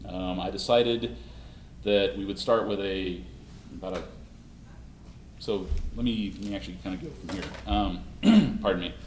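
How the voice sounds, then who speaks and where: A man presenting a live costume-making demonstration at a convention panel, talking in a halting way with many restarts, his voice slightly hoarse. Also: sits low, darkish tone, a little hiss or hum. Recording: echoey room, mic far off.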